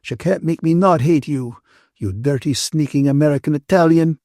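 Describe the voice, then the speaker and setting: A man's voice: on the high side, moderate pace, warm tone, no room sound, clean studio sound, voice pushed up, close to an everyday voice. A man voicing a bitter, tearful woman in an audiobook, indignant, accusing and wounded. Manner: accusing, emphatic, aggrieved.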